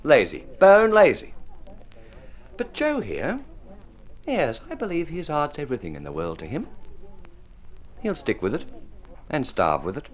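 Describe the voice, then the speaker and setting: A man's voice: on the high side, wildly swooping pitch, a little slow, long pauses. An actor playing a wry, practical, struggling male writer with a teasing, sardonic manner in an old radio drama. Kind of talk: vintage radio drama acting.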